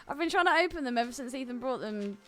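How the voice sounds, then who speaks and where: A woman co-hosting a podcast in casual banter, excitable, blunt and quick to swear. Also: high voice, very noisy. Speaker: podcast co-host.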